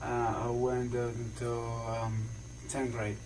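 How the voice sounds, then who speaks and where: A man in his twenties with a Cuban accent, a native Spanish speaker, giving halting, informal short answers in a police interview. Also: medium pitch, completely flat delivery. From Cuba. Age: 20s. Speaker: suspect giving a statement. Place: indoor police interview room. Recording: noisy distant interrogation room recording.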